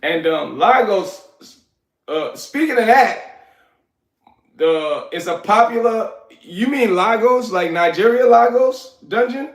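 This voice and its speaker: A man, hosting a casual livestream, giving hyped shout-outs to viewers and rambling in streetwise slang. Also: sits high, animated, slow pace. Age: 40s. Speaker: livestream host. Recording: echoey room.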